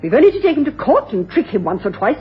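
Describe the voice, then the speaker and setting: Adamant, high-voiced scornful widow. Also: female voice, sing-song, fast talker, husky, exaggerated voice. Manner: emphatic, disdainful, blunt. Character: adamant, scornful sister, a well-bred widow. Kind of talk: 1980s radio drama acting. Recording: dull, noisy old radio broadcast.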